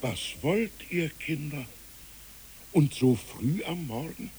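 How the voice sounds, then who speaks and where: An actor playing a gentle, fearful, whimsical middle-aged village pastor in a 1960s radio play, musing and deliberately phrased. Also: male voice, fairly high pitch, animated, soft background music. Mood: lively, inviting. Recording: hissy 1960s radio recording.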